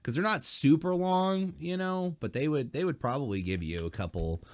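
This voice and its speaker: A man hosting a casual podcast, rambling with fillers and restarts, his throat dry and a bit hoarse. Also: a little high, moderate pace, no room sound. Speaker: podcast host.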